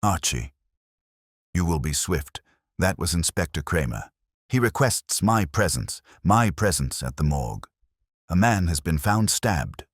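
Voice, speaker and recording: A man's voice: low voice, sing-song, a little slow, dry recording, studio quality, heavily acted. A man voicing a heavyset, imperious, brusque detective genius with terse, formal, pompous speech, in an audiobook mystery. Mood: grave.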